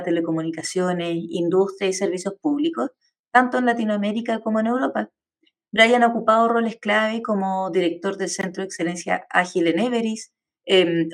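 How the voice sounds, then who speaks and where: A woman speaks as a podcast host in a mid-pitched voice. Her delivery is that of a prepared presenter who introduces topics and puts questions to a guest.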